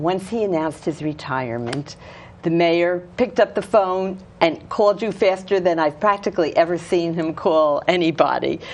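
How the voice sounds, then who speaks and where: A woman's voice: lowish voice, a little mellow. A woman hosting a local talk show, interviewing her guest with an eager, gushing manner. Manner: enthusiastic, gushing. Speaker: host. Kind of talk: casual interview chat.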